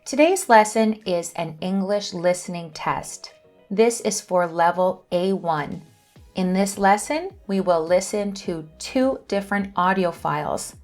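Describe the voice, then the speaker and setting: Woman host reading a beginner listening test, very slow and clear, soft music underneath. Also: fairly low pitch, lively intonation. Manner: slow, careful reading of questions and answers. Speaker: English teacher and channel host. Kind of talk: scripted lesson narration.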